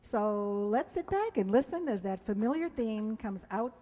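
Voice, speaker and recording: Female presenter reading a show introduction: soft-toned, somewhat high pitch, echoing hall. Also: expressive, unhurried. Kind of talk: scripted spoken introduction to an audience. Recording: reverberant hall recording.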